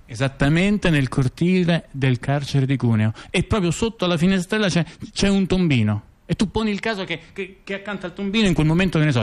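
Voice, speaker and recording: A man acting as a chatty intellectual, telling scandals in conversational stage monologue, halting and repeating himself with frequent tag questions. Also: a little high, natural voice. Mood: wry, conspiratorial. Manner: halting, repetitive, full of rhetorical tag questions. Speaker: stage actor-narrator. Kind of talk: conversational monologue theatre, addressing a listener.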